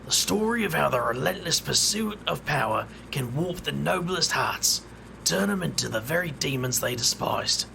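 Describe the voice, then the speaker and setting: Guy doing a tired wizard detective, super gravelly voice, sharp edge, brooding and dry. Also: fairly high pitch, animated, normal speed, a little hiss or hum, exaggerated voice, rough recording. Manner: wry, brooding, muttering asides. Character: world-weary male wizard investigator, brooding and dry.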